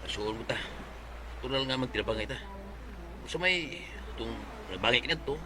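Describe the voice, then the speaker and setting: Man playing a conciliatory kinsman, mid-pitched, noisy recording. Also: normal speed, natural voice. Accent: Filipino Bisaya. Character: supportive older male relative, conciliatory. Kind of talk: Filipino radio drama acting. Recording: clear noise behind the voice.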